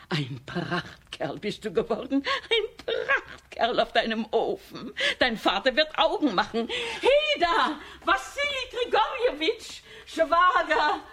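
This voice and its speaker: An actress playing a doting, excitable village aunt in a children's radio play, effusive and full of exclamations. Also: female voice, high voice, full character voice. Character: doting, excitable village aunt, fussing over her nephew.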